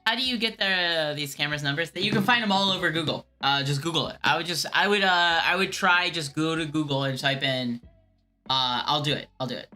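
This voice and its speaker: A woman streamer who does scam baiting, answering viewer questions in a halting, earnest way with frequent restarts. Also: low voice, bright, forceful tone, soft background music.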